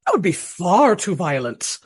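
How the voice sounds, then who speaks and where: An actress in a radio play voicing a gushing, melodramatic amateur stage actress of the Regency era, speaking with theatrical earnestness. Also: female voice, on the low side, big pitch swings, unhurried, heavily acted. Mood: earnest, dramatic. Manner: theatrical, breathy earnestness, dramatic flourishes.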